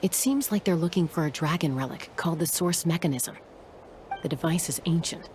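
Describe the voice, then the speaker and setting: A woman voicing a composed, serious scout in a video game, measured and matter-of-fact. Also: low-pitched, a little mellow, slight background noise, lightly acted. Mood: explanatory.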